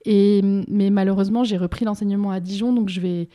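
A woman's voice: medium pitch, steady tone, a little fast, warm tone. A woman from Bordeaux in France speaking in an informal interview, explaining her work hesitantly and repeating words as she searches for the next thought. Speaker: interviewed independent curator and art school teacher.

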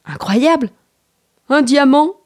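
Excitable little storybook boy played by a woman, high-voiced, animated, quick to gasp and exclaim. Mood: amazed. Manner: exclaiming, breathless with amazement and dismay.

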